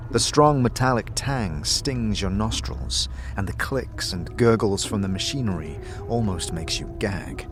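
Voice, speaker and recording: A man narrating a fantasy audio drama as game master, in a deliberate, dramatic style with hushed line endings. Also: on the low side. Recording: music bed under the voice.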